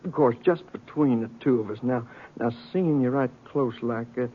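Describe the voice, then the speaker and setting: An actor playing a stubborn, vain Western outlaw with a needling, folksy rustic drawl in a 1950s radio western. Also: male voice, mid-range voice, normal speed, phone-quality sound, natural voice. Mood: sly. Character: sly, stubborn, vain wounded male outlaw. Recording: thin, band-limited old radio recording.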